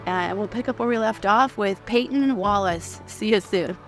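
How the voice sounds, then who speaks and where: A woman's voice: medium pitch, normal speed, loud music behind the voice. A woman hosting a conversational interview, affirming her guest and encouraging them along. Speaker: interview host. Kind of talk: conversational talk show hosting.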